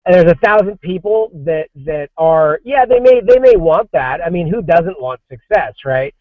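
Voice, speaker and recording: A man's voice: a little high, animated, moderate pace, no room sound. A man in his forties, a guest on a casual podcast, talking loosely with many fillers and restarts. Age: 40s. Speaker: comics creative director, guest. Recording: dry, dull lo-fi sound.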